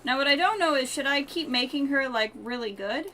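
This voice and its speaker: A woman's voice: high-pitched, expressive, a little fast, bright, forceful tone, a little hiss or hum. A woman giving casual live commentary as she streams a video game, chatting informally.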